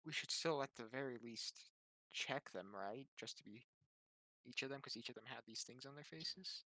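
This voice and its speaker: A player in a tabletop game, chatting casually and thinking out loud. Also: mid-range voice, moderate pace, dry recording, low-quality recording. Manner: conversational, thinking aloud.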